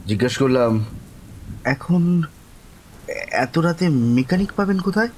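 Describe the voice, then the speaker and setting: A man playing a mild, easygoing storyteller who recounts his own eerie night in measured, rueful audio drama narration. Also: a little high, expressive, slight background noise. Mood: rueful, uneasy. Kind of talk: first-person horror audio drama narration. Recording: rain ambience behind voice. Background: rain.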